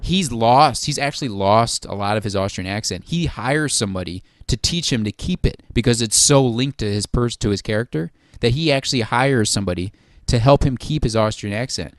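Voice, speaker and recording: A man co-hosting a podcast, chatting casually and joking, his voice sinking quiet at the ends of thoughts. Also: mid-range voice, lively intonation, no echo, studio quality. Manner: quick, chatty, jokey, trails off quietly. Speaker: podcast co-host. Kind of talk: casual chat.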